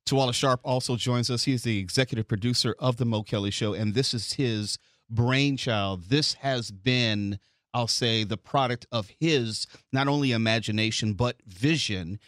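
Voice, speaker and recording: A man hosting a podcast, giving measured, articulate commentary on a film. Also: mid-range voice, a bit bright, no room sound, studio quality. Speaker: podcast host.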